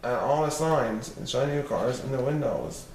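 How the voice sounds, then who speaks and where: A man's voice: medium pitch, animated, normal speed, a little mellow. Man reading aloud, roomy and distant. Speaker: storybook reader. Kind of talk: children's story read aloud. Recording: roomy, distant mic, faint noise.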